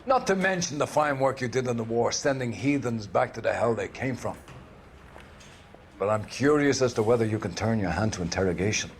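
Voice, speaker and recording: An actor playing a stern, grandiloquent older police captain in a video game, preaching with paternal menace. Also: male voice, mid-range voice, animated, a little hiss or hum, lightly acted. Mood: grand, probing. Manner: grandiloquent, preachy, deliberate phrasing. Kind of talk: video game cutscene acting.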